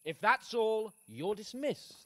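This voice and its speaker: Spoiled, boastful young lord for a video game: high bright voice, slow sneering drawl. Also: male voice, expressive, no room sound, heavily acted. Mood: haughty, mocking. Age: young adult. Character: arrogant, hot-tempered young nobleman, spoiled and boastful. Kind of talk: dramatic video game acting.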